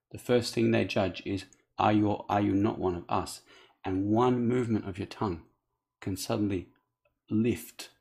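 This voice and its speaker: A man's voice: fairly low pitch, creaky, a little mellow. A man from Australia, talking earnestly and unscripted as a coach, often restarting his sentences. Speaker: accent coach. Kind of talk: direct-to-camera appeal.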